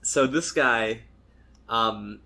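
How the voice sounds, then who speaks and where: A man's voice: mid-range voice, drawn-out words, pushed and bright. A young man co-hosting a casual podcast, telling stories with frequent laughs and acted-out quotes. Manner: storytelling, laughs often, acts out quotes. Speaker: podcast co-host. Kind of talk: casual chat.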